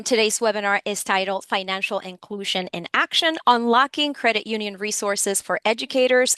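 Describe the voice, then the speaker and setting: Bright, clear-voiced woman hosting a webinar. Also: mid-range voice, no room sound. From Dominican Republic. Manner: welcoming, addresses listeners directly, clearly signposted. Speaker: webinar host, education program supervisor. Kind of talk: webinar presentation to an audience.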